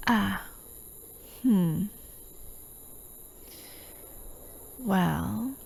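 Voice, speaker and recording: A woman playing a slow, soft-spoken doting hypnotist. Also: mid-range voice, gravelly, slight background noise, low-quality recording. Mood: gently teasing. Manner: gentle, coaxing, often dropping into whispers. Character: elusive female hypnotist, a doting, possessive, soothing captor. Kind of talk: soft-spoken ASMR roleplay. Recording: steady outdoor night ambience, chirping crickets. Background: crickets and night insects.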